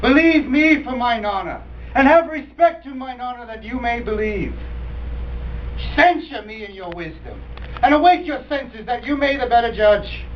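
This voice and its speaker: A man's voice: very high voice, animated, moderate pace. A young man acting a proud, solemn Roman orator declaiming a Shakespearean speech to a crowd. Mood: solemn, commanding. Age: young adult. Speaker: acting student. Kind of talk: Shakespearean stage oration. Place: acting studio. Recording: distant mic, muffled, faint noise.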